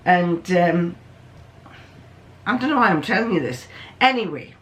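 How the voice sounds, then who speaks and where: An older person hosts in a deep voice. The talk is casual and rambling, drifting off into asides and coming back in a plain, matter-of-fact way. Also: animated, measured pace, fairly bright tone. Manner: chatty, digressive, matter-of-fact. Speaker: video host.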